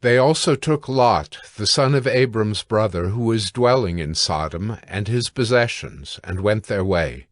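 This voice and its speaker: A man reads scripture as a narrator. His voice is low and his delivery slow, measured and deliberate. The recording is dry and close. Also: animated, dry recording.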